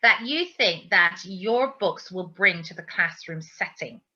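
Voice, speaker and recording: A woman hosting an interview, effusive in her praise and halting in her phrasing, on dull lo-fi audio. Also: lowish voice, expressive, bright, forceful tone. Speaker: host interviewer.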